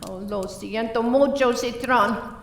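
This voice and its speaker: A woman's voice: on the high side, takes it slowly, far from the mic. A woman playing a coy, flustered murder suspect in a radio play, dramatic and evasive. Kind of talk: community radio play acting. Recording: echoey room, off-mic, slight noise.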